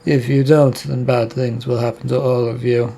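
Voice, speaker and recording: Sly possessing demon, slow taunting delivery, husky low tone, read by a man. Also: level tone, warm tone. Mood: coaxing sly. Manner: taunting, coaxing, drawn-out.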